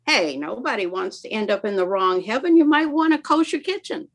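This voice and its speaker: A woman's voice: mid-range voice, expressive, a little fast, a bit bright. An older woman from the American South reading her own poem aloud, wry and conversational, with playful asides to the audience. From Southern United States. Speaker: poet reading her work. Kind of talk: spoken word poetry reading.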